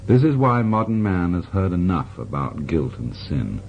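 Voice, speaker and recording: An older man reads a philosophical passage aloud in a low, husky voice. His delivery is measured and lively in pitch, with slow, weighty stresses. The old recording sounds dull and lo-fi. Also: slight background noise. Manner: measured, expressive reading with slow emphatic stresses. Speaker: reader. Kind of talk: reading aloud a philosophical text.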